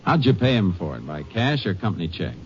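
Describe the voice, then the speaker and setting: An actor playing a calm, methodical Texas Ranger who questions and narrates tersely in old radio drama style. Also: male voice, low voice, brisk, slight background noise. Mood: probing. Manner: terse, probing, matter-of-fact. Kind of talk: 1940s-50s radio drama acting and narration. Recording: muffled old-time radio recording.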